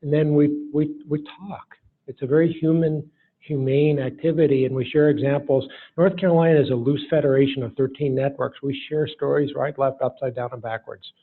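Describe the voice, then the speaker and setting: A man's voice: medium pitch, fairly even intonation, moderate pace, breathy edge. A man giving a keynote talk and taking audience questions in an informal, folksy way, in short phrases. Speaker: keynote speaker. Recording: muffled lo-fi hall recording.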